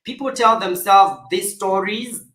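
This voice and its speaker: A man's voice: high-pitched, a little slow, pushed and bright, echoey room. A man hosting a coaching conversation, speaking earnestly and urging listeners to take action. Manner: earnest, motivational, with emphatic exhortations. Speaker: life coach host.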